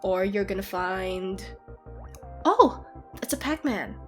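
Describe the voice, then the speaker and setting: A woman playing an excitable, teasing, nerdy gamer girlfriend in a casual audio roleplay. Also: medium pitch, takes it slowly. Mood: nerdy, explaining. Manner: giggly, playfully ranting, animated casual talk. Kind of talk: audio roleplay, casual one-sided chat to a partner. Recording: background music under the voice. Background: game-like ambient music.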